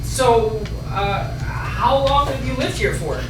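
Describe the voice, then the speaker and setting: A man's voice: very high voice, lively intonation, slow pace, exaggerated voice. A man playing a timid, lovestruck male bird in a staged children's play reading, awkward and stammering. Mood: hesitant, fearful. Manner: stammering, hesitant. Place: small theater stage before an audience, far camera. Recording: echoey noisy theater stage from far off.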